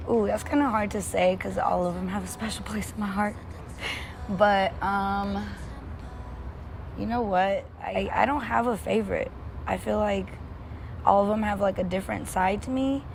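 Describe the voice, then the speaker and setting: A young woman talks easily about her music in a casual interview, dropping slang in an upbeat, laid-back way. Her voice sits at a middle pitch, and steady background noise runs under the recording. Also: moderate pace, creaky. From born in Armenia, raised in Los Angeles. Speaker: music artist guest.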